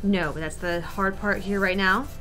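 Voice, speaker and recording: A woman co-hosts a gaming stream in an ordinary mid-pitched voice. Her talk is casual, shifting between chat with friends and reading items aloud. Light music plays behind her. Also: fairly even intonation, moderate pace, sharp tone. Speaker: game streamer co-host.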